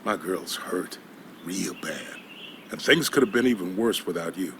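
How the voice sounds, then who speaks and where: An actor playing a gruff, protective older small-town father with a folksy, plain-spoken manner in a video game. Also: low voice, lively intonation, unhurried, fairly bright tone, a little hiss or hum, full character voice. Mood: weary, grave. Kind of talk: video game dialogue acting.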